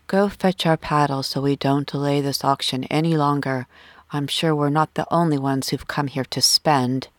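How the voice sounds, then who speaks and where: A woman's voice: low-pitched, steady tone, moderate pace, no room sound, voice pushed down, close to an everyday voice. A woman voicing a composed, patronising wealthy husband and father in an audiobook. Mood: condescending, composed. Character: smooth, condescending, unflappable wealthy husband and father.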